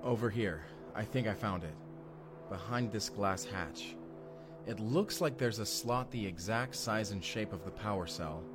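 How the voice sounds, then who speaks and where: A man's voice: on the low side, unhurried, over music, lightly acted. A man playing an urgent, determined time traveler in an audio roleplay drama, earnest and intense. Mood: tense, searching. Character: urgent, determined male time traveler, protective of his partner.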